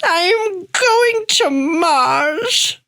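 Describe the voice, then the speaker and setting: A woman's voice: extremely high pitch, lively intonation, drawn-out words, sharp tone, exaggerated voice. A woman voicing a feisty, stubborn grandma in her eighties in an emphatic, dramatic radio play style. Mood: feisty, defiant. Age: older. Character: feisty, stubborn 81-year-old grandma, sharp as a tack. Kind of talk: hobby radio play acting.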